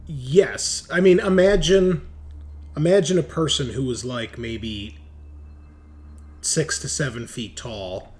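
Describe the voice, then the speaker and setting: A man narrating a tabletop game as game master, descriptive and improvised, pausing as he picks his words. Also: mid-range voice, darkish tone. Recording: clear background noise.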